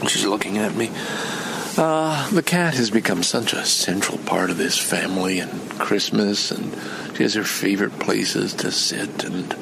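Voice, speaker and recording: A man's voice: on the low side, measured pace, creaky, background noise. An older man from Canada in a rambling personal vlog, meandering and chatty with many restarts. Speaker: vlogger.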